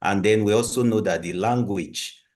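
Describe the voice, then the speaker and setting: Deep-voiced man, measured. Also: a little mellow. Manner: measured, explanatory, with ums. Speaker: health program presenter. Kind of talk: answering questions in a webinar.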